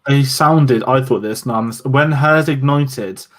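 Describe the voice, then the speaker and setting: A man co-hosting a casual podcast chat, halting and searching for his words. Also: mid-range voice, a bit bright. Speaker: podcast co-host.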